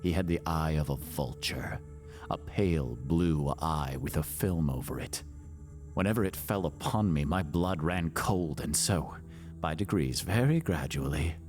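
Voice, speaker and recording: A man playing a nervous, boastful madman confessing a murder in a theatrical Gothic horror monologue, melodramatic and swinging between hushed and shrill delivery. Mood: brooding, chilling.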